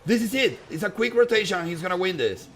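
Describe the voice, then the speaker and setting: A man with a strong Japanese accent casting an esports match in a chatty, emphatic, excitable way. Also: sits high, quick pace, a little hiss or hum. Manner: animated, chatty, emphatic repetition. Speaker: esports caster. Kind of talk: excitable live game commentary and banter.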